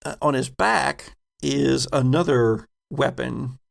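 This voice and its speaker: Slow, very creaky male game master. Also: medium pitch. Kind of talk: narrating a tabletop game.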